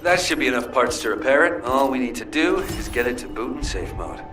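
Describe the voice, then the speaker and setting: A man's voice: medium pitch, animated, brisk, close to an everyday voice. A man playing a cool, pragmatic, aloof military engineer in a video game, with a dry, curt delivery. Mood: assured, instructing. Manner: dry, curt, matter-of-fact. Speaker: drone designer. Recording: distant voice under clear music.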